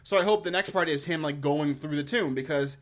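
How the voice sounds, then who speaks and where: A man with a fairly high-pitched voice hosts a casual video commentary. He talks informally and with enthusiasm, in a rambling way. He often restarts and repeats words as he works through his thoughts. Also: a little fast. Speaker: host of a game lore review channel.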